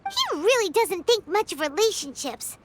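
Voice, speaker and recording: Tiny fairy companion, super squeaky and high, bouncy voice, talks about herself in third person. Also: female voice, sing-song, normal speed. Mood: impressed. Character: tiny floating female fairy companion, excitable. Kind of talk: video game voice acting.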